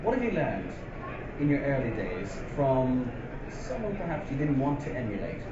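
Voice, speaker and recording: A man's voice: mid-range voice, big pitch swings, moderate pace. A man hosting a live audience interview, asking questions in a formal way. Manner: formal, introducing and prompting questions. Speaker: interviewer, event host. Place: event hall with a live audience. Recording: roomy, dull live hall recording with noise.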